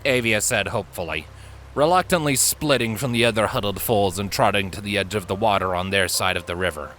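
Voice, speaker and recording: Lowish male voice, forceful and bright, dramatic story narration, suspenseful phrasing, faint background noise. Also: fairly quick. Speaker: audiobook narrator.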